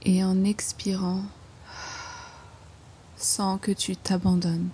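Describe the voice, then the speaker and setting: Female meditation guide, dark soft tone, level pitch, hushed phrase endings, faint ambient noise behind. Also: level tone, slow pace. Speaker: yoga nidra guide. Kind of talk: guided meditation, slow soothing instruction.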